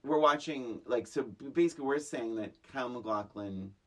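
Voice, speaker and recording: A man's voice: medium pitch, normal speed. A man co-hosting a podcast, chatting casually and sarcastically as he recaps a film. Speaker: podcast co-host.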